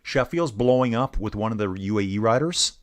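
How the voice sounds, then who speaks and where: A man's voice: on the low side, animated, a little fast. A middle-aged man casually commenting on a bike race live and chatting with viewers, laid-back and saying "dude" a lot. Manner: laid-back, says "dude" often, reads chat aloud.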